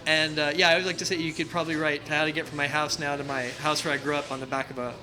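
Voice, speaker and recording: A man's voice: a little high, little pitch movement, a crowd in the background. Rambling, brash New Jersey-raised man. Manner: self-described loud and brash, halting with many restarts, self-deprecating humor. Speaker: interview guest. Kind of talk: casual interview conversation.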